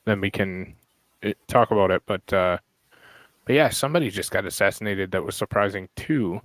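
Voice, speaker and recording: A man with a hoarse, worn-out voice chatting casually as a podcast host, rambling and searching for names as he goes. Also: low-pitched, moderate pace, fairly bright tone, dry recording, low-quality recording. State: hoarse, lost voice. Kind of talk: casual online chat.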